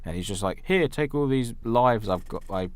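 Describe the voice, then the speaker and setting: A man's voice: mid-range voice, expressive, normal speed, darkish tone. A man co-hosting a film podcast, chatting casually in a blunt, incredulous, complaining way with some swearing. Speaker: podcast co-host.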